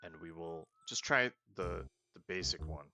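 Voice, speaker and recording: A man's voice: deep voice, lively intonation, normal speed, pushed and bright, faint music behind. A man casually talking through a roleplay video game as the host, thinking aloud and often restarting his sentences. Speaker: let's play host. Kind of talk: casual gameplay commentary.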